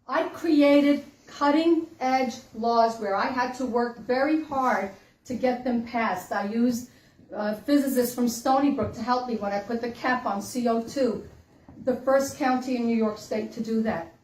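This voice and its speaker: A woman's voice: fairly high pitch, unhurried, a little mellow. A woman in her sixties from the Dominican Republic, giving an earnest, emphatic campaign speech at a meet and greet. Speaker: congressional candidate. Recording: echoey room, mic from far off.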